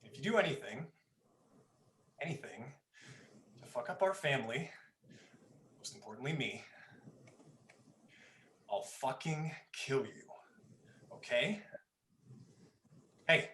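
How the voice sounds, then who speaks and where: A volatile young bully played by a man in a livestreamed horror play reading. His voice is sharp and swings widely as he taunts and threatens, with a roomy echo around it. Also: mid-range voice, very animated, takes it slowly, long pauses, a little hiss or hum. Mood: low menace. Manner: taunting, menacing, swearing. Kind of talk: dramatized live script reading.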